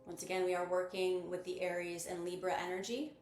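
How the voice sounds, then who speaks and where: A woman leading a guided meditation as host, speaking in a measured, instructive and gently encouraging manner. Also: lowish voice, level tone. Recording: roomy echo with music behind. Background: music.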